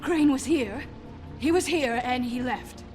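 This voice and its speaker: Defiant old witch woman, high-pitched. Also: measured pace, darkish tone, soft background music. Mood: pleading. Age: older. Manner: indignant, pleading, dramatic. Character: bitter, defiant old witch woman selling magic glamours. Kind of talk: video game voice acting.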